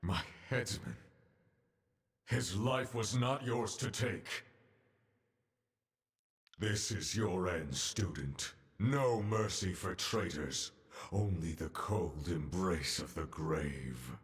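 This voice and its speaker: Very deep, slow, stern male judge. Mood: wrathful. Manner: formal, deliberate, solemn, pronouncing. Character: stern, imperious male judge passing a death sentence. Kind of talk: dramatic video game voice acting.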